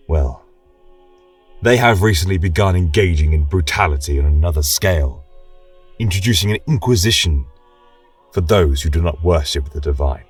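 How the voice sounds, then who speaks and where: A husky, learned chronicler, acted by a man delivers a formal lecture on his fantasy kingdom. His delivery is deliberate, proud and wistful, with wide swings of pitch. The voice sounds distant and roomy, with music behind it. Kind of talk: scripted fantasy lecture narration.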